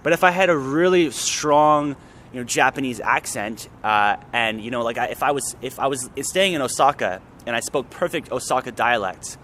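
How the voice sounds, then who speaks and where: A Canadian man hosting a casual chat, relaxed and talkative with lots of fillers. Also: medium pitch, moderate pace, slight background noise. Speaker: host. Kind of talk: casual conversation.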